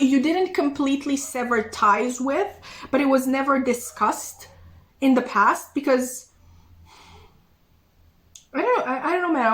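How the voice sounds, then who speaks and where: Chatty young woman reading tarot. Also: a little high. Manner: rambling, giggly, chatty with many fillers. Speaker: tarot reader. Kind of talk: casual tarot reading to camera.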